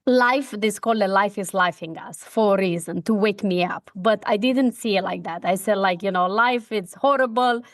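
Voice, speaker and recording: A middle-aged woman from Romania with a Romanian accent, a guest coach telling her personal story with earnest, halting feeling. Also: mid-range voice, no room sound, clean studio sound. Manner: earnest, heartfelt, halting with restarts. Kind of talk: personal story in a podcast interview.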